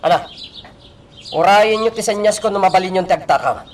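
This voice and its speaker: A man's voice: high-pitched, a little fast, lightly acted. A man with an Ilocano accent playing a brash, scheming, lecherous village thief in a radio drama. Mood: sly. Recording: faint noise with birdsong.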